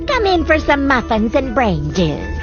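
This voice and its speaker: An actress playing a creepy old cartoon granny with a demonic voice and slow, sinisterly sweet menace. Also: female voice, sits high, wildly swooping pitch, heavily acted. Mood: sinister, menacing. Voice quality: creaky, demonic voice. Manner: slow, drawn-out menacing invitation. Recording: lo-fi cartoon clip under loud music.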